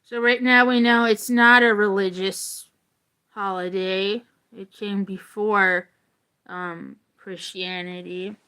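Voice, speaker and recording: A woman teacher reading a story aloud expressively and deliberately, with casual explanatory asides. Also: mid-range voice, steady tone, sharp tone, smooth.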